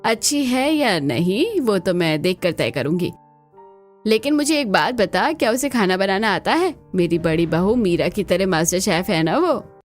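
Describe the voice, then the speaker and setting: A woman's voice: mid-range voice, lively intonation, a little slow, faint music behind. A woman voicing a demanding, proud middle-aged mother-in-law in a family cartoon, firm and emphatic. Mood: stern.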